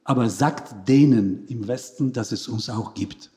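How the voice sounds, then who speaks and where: A man with a Polish accent speaks slowly in a mellow, relaxed tone. He is a panel guest telling anecdotes, halting often to search for words. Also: medium pitch. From Poland.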